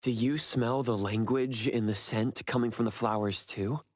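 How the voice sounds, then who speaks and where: An actor playing a mild, thoughtful furry creature investigator in an anime game, explaining things tentatively. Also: male voice, mid-range voice, fairly even intonation, normal speed, darkish tone, no room sound, clean studio sound, close to an everyday voice.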